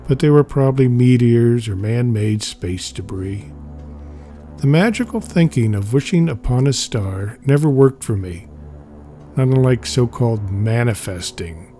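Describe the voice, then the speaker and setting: A man's voice: mid-range voice, mellow. A man giving a scripted narration, reading a memoir-style comic aloud.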